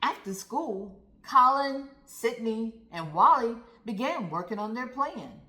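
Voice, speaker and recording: Female read-aloud narrator for young kids, slow and deliberate with animated melody, addressing the children directly. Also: fairly high pitch. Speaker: storyteller reading aloud to children. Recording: roomy, echoey sound.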